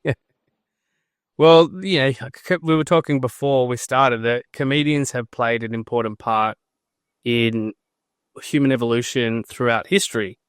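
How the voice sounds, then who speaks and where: A man's voice: medium pitch, fairly even intonation, a little slow, smooth, no echo, clean studio sound. A man hosting a podcast interview, talking in a friendly, earnest way with a few hesitant restarts. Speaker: podcast host.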